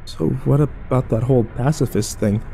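A man playing an overwhelmed, foul-mouthed young man who narrates his ordeal haltingly in a horror audio drama. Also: medium pitch, creaky, dark tone, a little hiss or hum, lightly acted, rough recording. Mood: demanding. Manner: halting, self-interrupting, swearing, incredulous.

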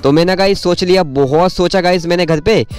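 Young male moto vlogger, teasing and jokey, raised pitch, outdoor action-cam sound with faint music. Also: fairly quick, darkish tone, clear, resonant tone. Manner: playful, teasing, laughs often. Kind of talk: casual vlog chat to camera. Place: outdoors on an empty highway overpass.

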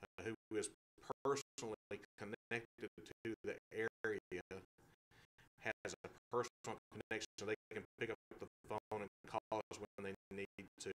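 A middle-aged man explaining his real estate and auction business on a local talk show, speaking in a slow, halting way with fillers, recorded on a table microphone in a small meeting room. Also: lowish voice, steady tone, a bit bright, a little hiss or hum, low-quality recording. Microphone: table microphones, far camera.

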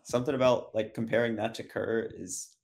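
A man's voice: on the low side, fairly even intonation, a little fast, creaky. A man talking casually as a guest on a group podcast. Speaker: podcast guest, tournament angler. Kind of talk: casual chat. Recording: faint noise and clicks.

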